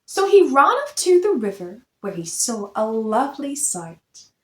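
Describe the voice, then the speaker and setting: A woman reads a picture book aloud for children in a roomy, echoing space. Her voice is high and swoops expressively through each sentence. She tells it like theatre, with great animation. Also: big pitch swings, a little slow, darkish tone. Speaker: children's story reader. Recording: roomy echo.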